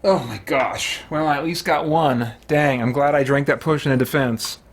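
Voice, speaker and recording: A man's voice: fairly high pitch, normal speed. A man hosting a solo tabletop game, giving casual play-by-play and thinking aloud with mild exclamations and chatty asides to himself.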